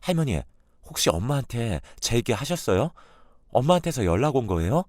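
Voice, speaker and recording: A man's voice: mid-range voice, sing-song, a little fast, dry recording, studio quality, pitched above the performer's own voice. A man voicing a young wife who reacts with shock and anger in a read-aloud story. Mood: furious. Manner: shocked, then shouting. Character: young wife, hurt and furious.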